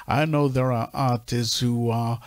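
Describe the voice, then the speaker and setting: A man hosting a radio talk panel, his mid-range voice recorded dry and close. He talks in a steady, measured way. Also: medium pitch, no echo. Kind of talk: casual panel discussion.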